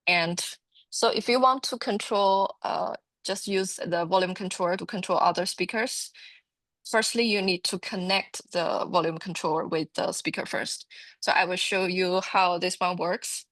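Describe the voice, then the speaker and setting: A woman giving an informal product training presentation, explaining haltingly and often repeating her words. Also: on the low side, fairly even intonation, fairly bright tone, no room sound, rough recording.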